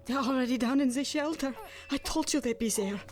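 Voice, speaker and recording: A woman's voice: high-pitched, a little fast, a little mellow, natural voice. An actress playing a frightened young mother and anxious wife in a radio drama.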